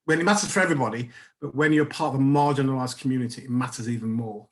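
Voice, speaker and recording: A man's voice: mid-range voice, rapid-fire. A man in his sixties, a psychotherapist on a panel, speaking over a video call and often repeating words as he starts a thought. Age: 60s.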